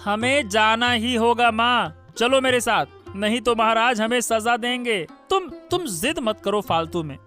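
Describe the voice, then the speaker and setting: A man's voice: very high voice, lively intonation, a little slow, hardly any pauses, bright, forceful tone, soft background music, full character voice. A man voicing a dutiful son in a Hindi cartoon story, urging and reasoning insistently. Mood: concerned, insistent. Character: urging, dutiful son advising his worried mother.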